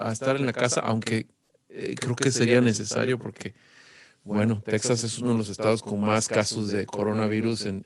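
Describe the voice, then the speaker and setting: Earnest middle-aged Guatemalan man, mid pitch, dry sound. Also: no echo. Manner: calm, earnest, devout. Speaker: guest, music producer. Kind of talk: casual interview chat. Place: home studio.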